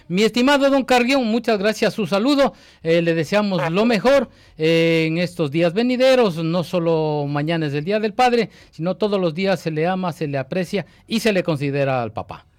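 A man's voice: high-pitched, animated, normal speed, hardly any pauses, dry recording, studio quality. A man hosting a radio show, joking and teasing in a courteous, formal way. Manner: jovial, teasing, formally polite. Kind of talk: casual radio chat.

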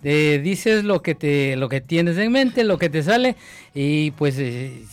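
A man's voice: on the high side, animated, takes it slowly, no echo, soft background music. A man hosting a radio show, joking and teasing in a courteous, formal way. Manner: jovial, teasing, formally polite. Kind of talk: casual radio chat.

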